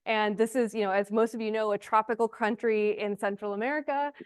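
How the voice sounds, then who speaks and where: Clear-voiced young woman presenting. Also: fairly high pitch, steady tone, brisk. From Honduras and Texas. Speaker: speaker, ecological restoration student and forest project lead. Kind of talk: conference presentation.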